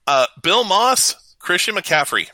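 Guy doing casual sports debate, animated pitch, super bright voice, close dry sound. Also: takes it slowly, dry recording. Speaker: co-host reading out matchups for a sports debate.

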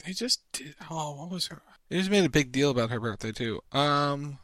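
A man's voice: fairly high pitch, expressive, normal speed, a bit bright, no room sound, clean studio sound. A man co-hosting a casual talk show as a quiz contestant, blunt and unimpressed in his replies. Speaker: podcast co-host, quiz contestant. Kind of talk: casual chat.

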